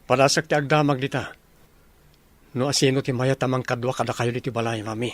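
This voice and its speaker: Mid-pitched young army officer played by a man. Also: fairly even intonation, brisk, close to an everyday voice. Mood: earnest, concerned. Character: dutiful young army officer, devoted son. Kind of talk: radio drama acting.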